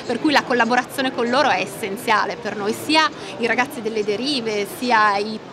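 A woman's voice: a little high, normal speed. A woman, explaining things enthusiastically in a conversational interview as a company executive. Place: open trade-show stand. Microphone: handheld microphone held by interviewer. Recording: busy trade-fair crowd noise. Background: crowd bustle.

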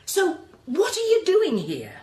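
An actress playing a dry, quick-witted sceptical woman in a radio drama, sarcastic and argumentative. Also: extremely high pitch, wildly swooping pitch, a little mellow, exaggerated voice. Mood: sarcastic, challenging. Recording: roomy, faint background noise.

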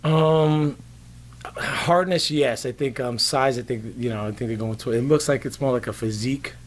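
A man's voice: medium pitch, normal speed. A man in his forties with a New York accent, giving casual, clipped interview answers as a coach. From New York, United States. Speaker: fitness coach, interview guest. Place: indoor backdrop set at a competition venue. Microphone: interviewer's handheld microphone. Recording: noisy event venue ambience.

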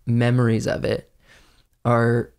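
Young man with a gravelly voice. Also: medium pitch, a little slow. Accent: slight Southern US. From Pennsylvania, United States. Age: young adult. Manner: relaxed, chatty, laughs often. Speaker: podcast co-host. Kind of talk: casual chat. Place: small studio room indoors. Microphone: studio mic on boom arm.

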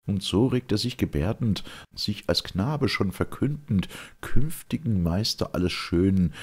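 An old, sinister hag declaims her tale in classical verse drama, played by a male reader. The voice is husky and slow, swinging widely in pitch with boastful, theatrical emphasis. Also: darkish tone, heavily acted. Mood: awed. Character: ancient sinister hag storyteller Phorkyas, animated and boastful.

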